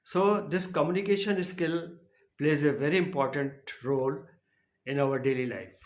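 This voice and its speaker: A man's voice: fairly high pitch, moderate pace. Older Indian man giving an earnest talk. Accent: Indian English. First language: a language of India. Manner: earnest, lecturing, repeats himself. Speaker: podcast host and speaker. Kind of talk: motivational talk. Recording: echoey room.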